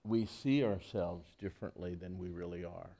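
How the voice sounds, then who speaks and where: A man's voice: deep voice, steady tone, moderate pace, vocal fry, warm tone. A male pastor giving a conversational sermon, reading scripture and asking the congregation rhetorical questions.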